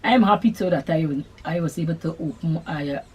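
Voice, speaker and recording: A middle-aged woman with a Guyanese accent, hosting a sewing channel and answering viewer questions in an unhurried, chatty way. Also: sits low, slight background noise. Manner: unhurried, chatty, Creole-tinged phrasing. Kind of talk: casual Q&A chat to camera.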